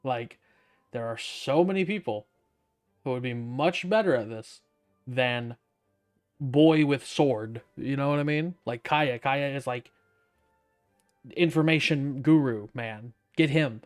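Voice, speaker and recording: A man's voice: medium pitch, slow, long pauses, soft background music. A man giving casual commentary as he streams a game, with a wry, sardonic manner.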